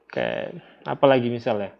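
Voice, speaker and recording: A dull, lo-fi recording of a man with an Indonesian accent giving an informal religious lesson. His pitch is average for a man. He explains things step by step, often repeating a phrase or putting a question to his listeners. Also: big pitch swings, normal speed, vocal fry, slight background noise. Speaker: religious teacher. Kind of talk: informal lecture explaining a text. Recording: dull, lo-fi audio.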